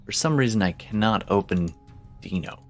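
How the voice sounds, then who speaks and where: Deep voiced guy running an online rpg, bit of fry, casual and muttery, music behind. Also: expressive, moderate pace. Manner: informal, muttering to himself while working. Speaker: game master. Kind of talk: casual chat while running an online tabletop game.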